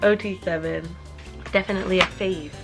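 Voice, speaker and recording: A woman's voice: fairly low pitch, steady tone, fairly quick, over music. Chatty young woman rambling to camera. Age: 20s. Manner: chatty, rambling with many "like" fillers. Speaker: vlogger. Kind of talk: casual Q&A chat to camera.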